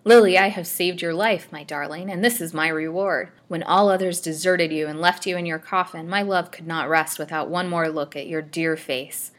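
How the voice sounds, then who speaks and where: A woman's voice: lowish voice, steady tone, normal speed, hardly any pauses, close to an everyday voice. A woman voicing a possessive, ardent male suitor in a storybook, with florid and melodramatic declarations. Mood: pleading, ardent.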